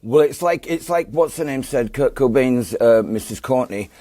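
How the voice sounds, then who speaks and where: A man's voice: medium pitch, lively intonation, normal speed, warm tone, dry recording, studio quality. A man in his fifties with a Northern English Yorkshire accent, a guest telling a rambling story full of fillers and restarts. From England. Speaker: guest musician. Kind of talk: relaxed storytelling interview.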